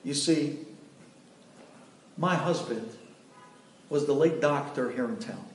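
A man voicing a grieving widow who speaks softly and hesitantly, stammering now and then. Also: mid-range voice, slow, a little hiss or hum, lightly acted. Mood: worried. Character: grieving widow, anxious and earnest. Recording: echoey room, distant mic.

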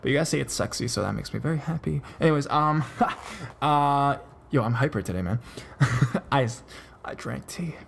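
Male podcast host, mid pitch, stammering casual ramble, faint hiss behind. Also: lively intonation, normal speed, creaky. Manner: stammers and restarts often; loose, chatty delivery with many fillers.

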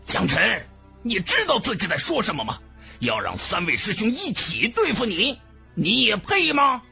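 A man's voice: high-pitched, huge pitch swings, moderate pace, creaky, bright, forceful tone, faint music behind, voice pushed up, heavily acted. A man voicing a scheming young martial rival in a fantasy audiobook, accusatory and goading. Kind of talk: dramatic fantasy audiobook dialogue.

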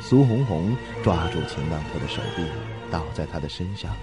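A man narrating a suspense radio drama in an expressive storytelling style. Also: low-pitched, moderate pace, loud music behind the voice.

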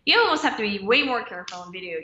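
A woman's voice: medium pitch, very animated, racing, pushed and bright, echoey room, dull audio. A woman, an American stunt performer, chatting casually as a podcast guest, loose and easygoing with many "yeah"s and "like"s. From United States. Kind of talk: casual interview chat.

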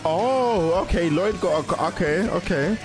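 A man's voice: high-pitched, animated, a little slow. A young man giving casual, slangy reaction commentary, thinking aloud and repeating himself, close on the mic. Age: young adult. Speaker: reaction video host. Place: indoor room. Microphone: large microphone close to mouth. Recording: music and show audio behind.

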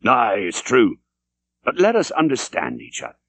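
A man voicing a gruff, blustering old sea captain. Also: wildly swooping pitch, moderate pace, fairly bright tone, no echo, exaggerated voice. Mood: fierce, lecturing. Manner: booming, archaic, interrogating.

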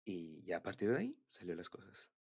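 Husky low-voiced young Mexican man. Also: wildly swooping pitch, brisk, darkish tone. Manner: bashful, hesitant, giggly. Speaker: ASMR roleplay creator. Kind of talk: intimate boyfriend ASMR roleplay, casual confiding talk.

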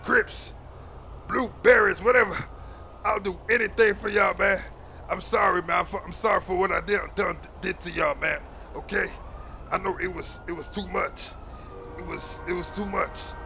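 A man doing a shrill, panicky captive. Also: steady tone, normal speed, vocal fry, faint music behind, heavily acted. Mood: pleading. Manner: pleading, frantic, yelling. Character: captured young male gang member, panicky.

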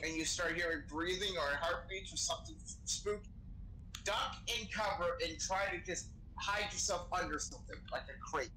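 Bright-voiced man in noisy game chat. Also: high voice, normal speed. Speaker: role-play gamer playing a crew member. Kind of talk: improvised in-character game chat.

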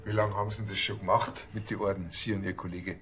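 A stubbornly literal policeman in a TV crime comedy. His lowish voice is deadpan and needling, with faint music behind. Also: fast. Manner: sardonic, deadpan. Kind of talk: TV comedy crime series acting.

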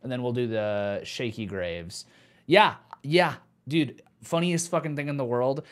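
A man's voice: mid-range voice, unhurried. A man of about thirty chatting casually as a livestream host, joking and swearing freely, close on a microphone. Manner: profane, animated, joking. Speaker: host of a music listening livestream. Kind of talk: casual chat. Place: small home studio room. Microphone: close microphone on boom arm.

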